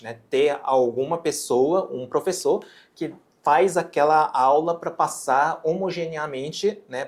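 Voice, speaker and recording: A man from Japan whose first language is Japanese, speaking with a Japanese accent as he explains his teaching ideas in an interview, measured and explanatory. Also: on the high side. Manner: measured, explanatory, often ends phrases with a questioning tag. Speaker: physician and medical educator.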